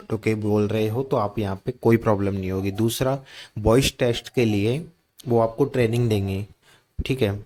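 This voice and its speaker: A man's voice: on the low side, mellow. An Indian man presenting an informal, instructive exam-coaching explainer, mixing in English terms and often checking in with the listener. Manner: instructive, mixes Hindi with English terms, repeats check-in tags. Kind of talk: informal explainer of a job notification.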